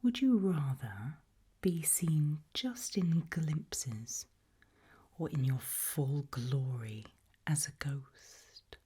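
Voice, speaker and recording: A British woman doing an ASMR roleplay in a breathy whisper, putting soft questions to the listener with deliberate pauses. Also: deep voice, expressive. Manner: slow, deliberate, with long pauses.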